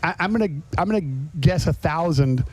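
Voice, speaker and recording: A man's voice: on the high side, animated, a little fast. A man hosting a casual radio interview on location, chatty and emphatic, speaking straight to his listeners. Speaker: podcast host. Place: on location at a garage restaurant.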